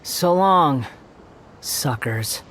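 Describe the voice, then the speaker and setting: A man's voice: fairly high pitch, animated, drawn-out words, a bit bright, slight background noise, exaggerated voice. A man voicing an arrogant, scornful young villain in a video game, sneering and condescending as he talks. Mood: impatient, scornful. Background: background music.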